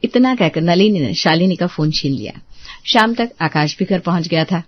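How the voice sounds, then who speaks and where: A woman's voice: fairly low pitch, expressive, moderate pace, no echo. Woman storyteller, dry muffled track. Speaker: narrator. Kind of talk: scripted story narration.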